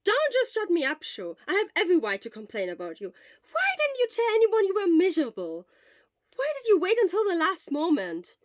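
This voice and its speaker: Woman voicing a loud, high-strung, nagging young man. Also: huge pitch swings, a little fast, no room sound, clean studio sound, full character voice. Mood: exasperated. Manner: exasperated, shouting, scolding.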